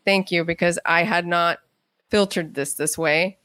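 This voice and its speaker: A woman's voice: on the low side, steady tone, a little slow, fairly bright tone, no echo. A middle-aged woman, an author being interviewed, telling stories in a conversational way. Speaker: guest author and playwright.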